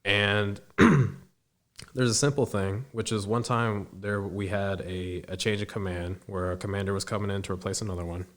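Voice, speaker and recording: A young man in his twenties with a deep voice, a podcast guest speaking earnestly as he tells stories in a casual interview. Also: steady tone. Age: 20s. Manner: earnest, storytelling, halting.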